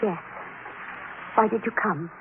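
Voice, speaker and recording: An actress playing a gentle, fearful young captive woman in an old-time radio drama, speaking earnestly and with resignation. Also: medium pitch, slow pace, close to an everyday voice. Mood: resigned, fearful. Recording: noisy, tinny old radio recording.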